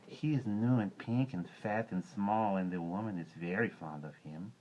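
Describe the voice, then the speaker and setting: A man's voice: low voice, normal speed, mellow, lo-fi sound, a little hiss or hum, lightly acted. A man voicing a little upside-down bat that carries news. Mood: hushed. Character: little upside-down bat, small gossipy messenger.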